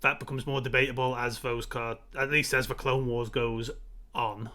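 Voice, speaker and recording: A man with a bright, forward voice and middling pitch, co-hosting a podcast. He talks casually and analytically about films, pressing his opinions with emphasis and drifting into tangents. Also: flat delivery, normal speed. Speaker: podcast co-host. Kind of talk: opinionated casual film discussion.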